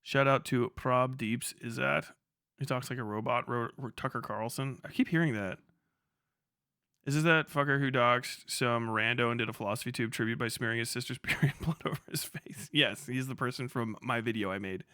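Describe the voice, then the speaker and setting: A man's voice: medium pitch, normal speed, pushed and bright, no room sound, studio quality. A man hosting a livestream with casual, slangy, profanity-laden commentary. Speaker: livestream host.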